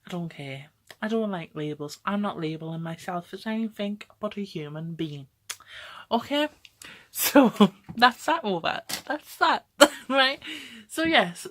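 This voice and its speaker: A woman's voice: mid-range voice, fairly bright tone. A woman, talking casually to camera in a rambling, chatty way, often tagging on "okay?" and laughing. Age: 20s. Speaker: vlogger.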